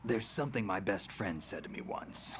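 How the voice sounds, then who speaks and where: An actor playing a weary, terse veteran male soldier with a low, gruff, hushed delivery. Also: expressive, moderate pace, a little hiss or hum, close to an everyday voice.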